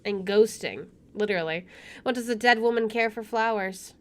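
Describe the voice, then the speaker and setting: A woman's voice: medium pitch, moderate pace. A woman streaming a visual novel, reading its text aloud and dropping in casual, wry asides. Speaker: video game streamer.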